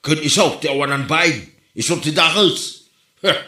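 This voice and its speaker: Sharp-voiced stern Ilocano uncle acted by a man. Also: fairly high pitch, moderate pace. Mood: scolding. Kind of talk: Ilocano comedy drama acting. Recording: roomy, echoing room sound.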